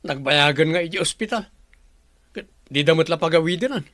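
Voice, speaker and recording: A man's voice: fairly high pitch, brisk, a bit bright, no echo, clean studio sound, lightly acted. A man playing a resentful young grandson in a radio drama, sneering and sulky.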